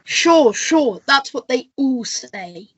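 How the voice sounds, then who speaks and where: A woman's voice: sits high, lively intonation, takes it slowly, husky, exaggerated voice. A woman playing a thuggish constable in a radio play, tough and threatening. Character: thuggish, aggressive constable itching for a fight.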